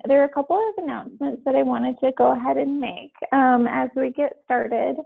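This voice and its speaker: A woman's voice: sits high, creaky. A woman hosting a webinar and making announcements, with many ums. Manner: filler-heavy, many ums. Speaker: webinar host. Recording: tinny phone-line audio.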